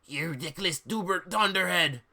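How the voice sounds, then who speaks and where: A man voicing a mean, menacing wizard professor from a fan fiction story, with halting threats that swell into yelling. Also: high-pitched, exaggerated voice. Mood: angry.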